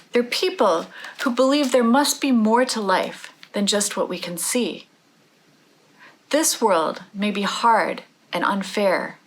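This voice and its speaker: Female author for kids' storybook read-aloud. Medium pitch, slow delivery, measured phrasing with pauses. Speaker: author reading own book aloud.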